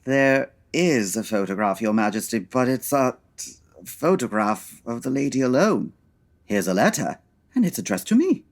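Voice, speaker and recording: A man's voice: mid-range voice, big pitch swings, moderate pace, gravelly. A man playing a brilliant, proud detective in old-time radio drama style, formal and articulate. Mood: reading, chagrined.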